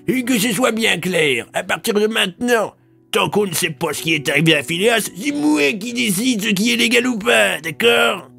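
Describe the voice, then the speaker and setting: Un homme qui interprète un colonel irascible et autoritaire, qui aboie des ordres grossiers et menaçants dans une fiction audio. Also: male voice, high voice, lively intonation, normal speed, vocal fry, sharp tone, faint music behind, pitched above the performer's own voice, full character voice. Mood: authoritarian, menacing. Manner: barking, vulgar, threatening. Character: irascible, domineering army colonel barking orders. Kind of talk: audio fiction character acting.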